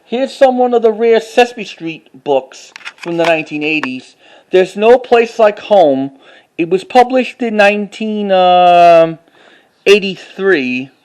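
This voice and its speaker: A man with a high-pitched voice talks casually as he shows off a collection. He reads titles out and speaks in a halting way, often restarting or repeating a phrase. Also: lively intonation, a little mellow, clean tone. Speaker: collector showing finds to camera. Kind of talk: casual unscripted show-and-tell narration.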